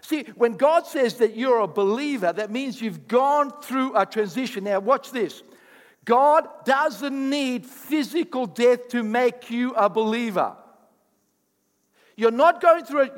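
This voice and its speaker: High-voiced emphatic preacher man in a hall. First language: not English. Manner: emphatic preaching with rising bursts and asides. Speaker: pastor. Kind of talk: sermon.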